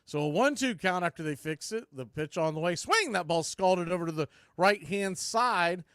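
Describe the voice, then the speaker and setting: A man doing live baseball play-by-play for a fan stream, mixing clipped pitch calls with casual, partisan asides. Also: animated, normal speed, a bit bright, smooth, no room sound, clean studio sound.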